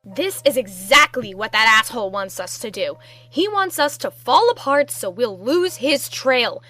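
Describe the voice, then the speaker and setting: A firm, authoritative female detective, acted by a woman for an audio drama. Her voice is slightly high, with a bright, forceful edge. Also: big pitch swings, unhurried, soft background music, full character voice. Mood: angry, commanding. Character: firm, commanding female homicide investigator. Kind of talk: amateur audio drama acting.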